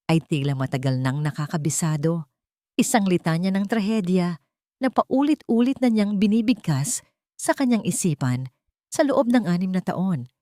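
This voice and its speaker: Low-pitched Filipino woman narrating a story. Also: darkish tone, dry recording, studio quality. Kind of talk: scripted dramatic story narration.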